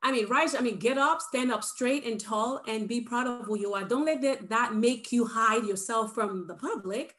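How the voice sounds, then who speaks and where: A woman's voice: on the high side, normal speed, hardly any pauses, fairly bright tone. A woman from Nigeria speaking on a video-call webinar panel, earnest and urging as she encourages parents. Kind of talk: passionate webinar talk.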